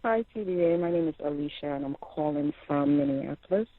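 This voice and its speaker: A woman's voice: low-pitched, no room sound. A young adult woman from Liberia, calling in to a talk show and speaking with passion, with many fillers and restarts. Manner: impassioned, halting with many fillers. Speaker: caller. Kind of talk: phone-in call to a talk show. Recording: tinny dry phone line.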